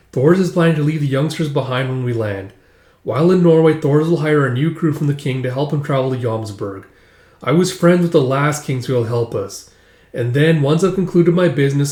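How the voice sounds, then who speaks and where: A man acts out a genial Viking seafarer, confiding and reassuring. It is a storytelling delivery in a room with clear echo. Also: medium pitch, moderate pace, a little mellow, natural voice. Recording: echoey room.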